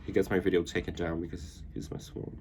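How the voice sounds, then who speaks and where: A man's voice: sits low, flat delivery, fast talker, mellow, a little hiss or hum. A young man who speaks English as a second language, chatting casually and campily about his dating past. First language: not English. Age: young adult. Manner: campy, dramatic retelling. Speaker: vlogger. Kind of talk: casual chat.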